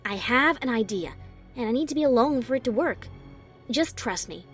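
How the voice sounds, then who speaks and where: A man's voice: very high-pitched, animated, moderate pace, fairly bright tone, faint music behind, pitched above the performer's own voice. A man voicing a clever, scheming young female unicorn with a breezy, fake-casual manner.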